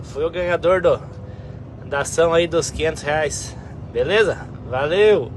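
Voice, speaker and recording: A man's voice: a little high, measured pace, a little hiss or hum. A man from São Paulo state, upbeat and casual, thanking as a raffle winner. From São Paulo state, Brazil.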